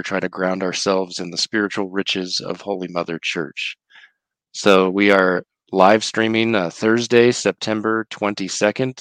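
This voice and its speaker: Low-voiced man with a slight cold. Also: a bit bright, no room sound. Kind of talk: hosting a live-streamed religious news roundup.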